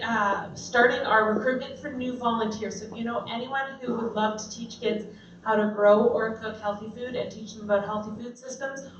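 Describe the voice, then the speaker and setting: A woman from a nonprofit making a short volunteer call, with fillers. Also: on the high side. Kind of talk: short announcement. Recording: echoey room, distant dull mic, faint hiss.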